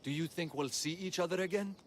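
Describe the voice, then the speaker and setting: A man's voice: on the high side, fairly quick, natural voice. A man playing a resentful, anxious young adult son in a dramatic video game cutscene. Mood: uncertain. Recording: loud backing music.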